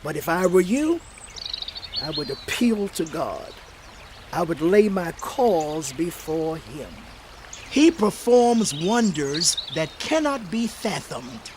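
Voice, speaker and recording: Eliphaz, slow high-voiced biblical counselor. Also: male voice, noisy background. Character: Eliphaz, male biblical elder earnestly counseling a suffering friend. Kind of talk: dramatized scripture reading.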